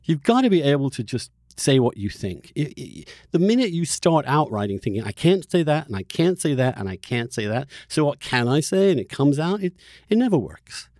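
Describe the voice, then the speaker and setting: A man hosting a conversational podcast, speaking emphatically and haltingly, often repeating words as he builds a point. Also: fairly high pitch, big pitch swings, a little mellow, no echo, studio quality. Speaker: podcast host, journalist.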